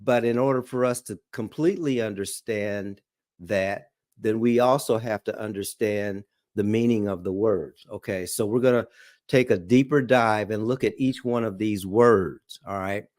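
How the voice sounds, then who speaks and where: A man's voice: fairly low pitch, darkish tone, no echo, studio quality. A man teaching a Bible study lesson, reading scripture aloud and checking in often with "okay?" and "all right?". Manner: reads scripture aloud slowly.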